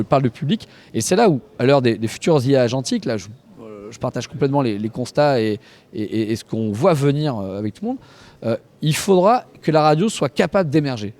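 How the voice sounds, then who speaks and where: A man's voice: medium pitch, a little mellow. Man speaking as an industry panelist. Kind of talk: panel discussion, spontaneous argument.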